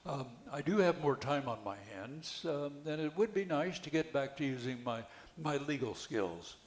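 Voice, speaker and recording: An older man reading the part of a hesitant, unassuming retired lawyer in an amateur play, halting as he goes. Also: fairly high pitch, lively intonation, natural voice.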